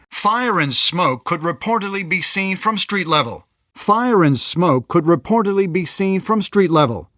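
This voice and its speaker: Higher-voiced dramatic news anchor. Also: male voice, a bit bright, dry recording, clean studio sound. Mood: solemn, emphatic. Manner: crisp, grave, emphatic newsreading. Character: polished, dramatic male television news anchor. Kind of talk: scripted news report in a video game.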